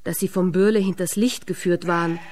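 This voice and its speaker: A woman narrating a fairy tale in a radio drama, measured and expressive. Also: fairly low pitch. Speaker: storyteller.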